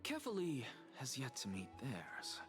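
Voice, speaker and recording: An actor playing an arrogant, cerebral professor in a video game, lecturing deliberately and letting phrase endings fall into breath. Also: male voice, medium pitch, huge pitch swings. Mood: smug lecturing. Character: arrogant, cerebral male professor, theatrical and defiant. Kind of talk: scripted video game dialogue. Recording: background music under dialogue.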